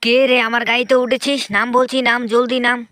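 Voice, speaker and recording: A woman voicing excitable cartoon characters in exaggerated skits, with a scolding, exclamatory delivery. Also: a little high, quick pace, lightly acted. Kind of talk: exaggerated cartoon skit voice acting. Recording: loud background noise with clicks.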